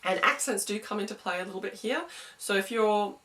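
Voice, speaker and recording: Bright, smooth-voiced woman teaching singing. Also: medium pitch, brisk, far from the mic. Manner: chatty, explanatory, with sung demos. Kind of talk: vocal lesson to camera.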